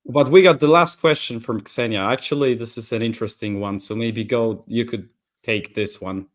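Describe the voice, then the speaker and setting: Male co-host, energetic and casual, laughs into his sentences. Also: medium pitch, moderate pace. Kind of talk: casual livestream chat.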